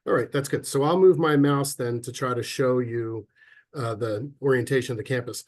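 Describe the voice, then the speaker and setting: A man with a head cold and a slightly stuffy voice, giving a relaxed, chatty online presentation with frequent ums and restarts over a computer mic. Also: mid-range voice, moderate pace. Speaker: sales and marketing officer presenting a webinar. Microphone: computer mic on a video call.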